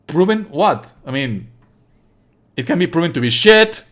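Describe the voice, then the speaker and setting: A man livestreaming casual game commentary, opinionated and scornful, swearing and explaining in a halting way with repeated phrases. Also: fairly high pitch, very animated, a bit bright.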